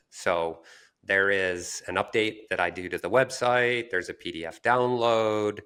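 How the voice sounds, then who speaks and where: Deep-voiced man co-hosting a podcast. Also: bright, forceful tone. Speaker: podcast co-host. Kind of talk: conversational explaining.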